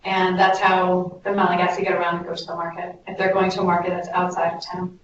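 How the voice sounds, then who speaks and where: A woman's voice: fairly low pitch, monotone, quick pace. A woman informally moderating a group call, explaining things and putting questions to the others. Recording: distant, echoey, dull, hissy conference call.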